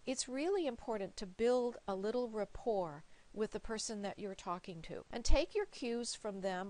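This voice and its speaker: A woman's voice: on the high side, a little mellow. A woman coaching viewers with practical advice in an emphatic, encouraging, conversational way. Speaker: recruiter giving interview coaching.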